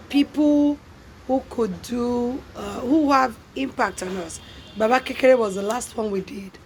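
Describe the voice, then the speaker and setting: A woman's voice: mid-range voice, darkish tone, a little hiss or hum, rough recording. A Nigerian woman from a theatre production team, talking in an interview. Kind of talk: unhurried interview talk.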